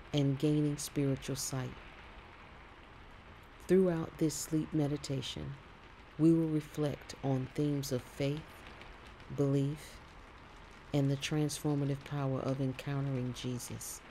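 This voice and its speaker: Slow, mellow man guiding sleep meditation. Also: on the high side, a little hiss or hum, poor audio. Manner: soothing, gentle, deliberate phrasing. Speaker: meditation narrator.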